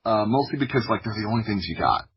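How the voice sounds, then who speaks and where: A man from the United States telling personal stories casually as a podcast guest, in an informal, humorous way with a husky voice. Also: lowish voice, animated, fast, muffled recording. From United States (Florida). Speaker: podcast guest, audio drama creator.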